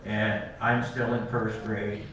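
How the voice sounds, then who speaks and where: A man's voice: fairly low pitch, level tone, takes it slowly. An older man, a cattle farmer, giving a rambling, folksy talk to an audience at a meeting. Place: large meeting hall. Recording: echoey hall from far off, some noise.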